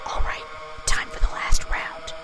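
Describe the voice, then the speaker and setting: A woman's voice: measured pace, close to an everyday voice. Whispering sadistic girl pony, acted by a woman. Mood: brisk menace. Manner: chiding, casually menacing. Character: sadistic cheerful female cartoon pony torturer. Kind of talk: whispered ASMR fan fiction reading.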